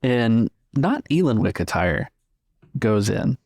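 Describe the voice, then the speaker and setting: A man improvising scene descriptions as a game master, pausing to pick his words in a measured way. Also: mid-range voice, very animated, darkish tone, no room sound, studio quality. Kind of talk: improvised tabletop game narration.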